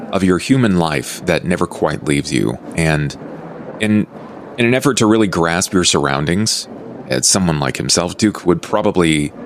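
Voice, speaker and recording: A man speaking as a player in a tabletop roleplay, describing his character casually in deliberate, thoughtful phrases. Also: deep voice, slight background noise.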